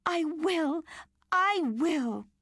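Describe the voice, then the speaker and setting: Woman putting on a high sobbing little girl, pleading, storybook reading. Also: big pitch swings, moderate pace, gravelly, darkish tone, dry recording, clean studio sound, heavily acted. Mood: eager. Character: tearful little girl, devoted to her robot.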